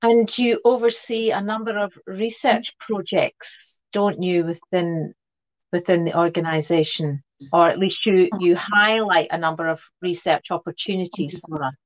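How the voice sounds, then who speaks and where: A woman's voice: on the low side, steady tone, moderate pace, rough recording. Woman co-host asking chatty questions. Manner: friendly, questioning, with small restarts. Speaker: podcast co-host, speech therapist. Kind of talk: conversational interview.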